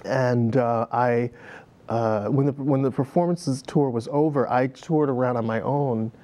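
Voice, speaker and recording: Middle-aged man telling stories, relaxed. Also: mid-range voice, a little slow. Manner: reflective storyteller, many fillers, laughs easily. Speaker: guest, dance director. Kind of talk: conversational interview. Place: TV studio set.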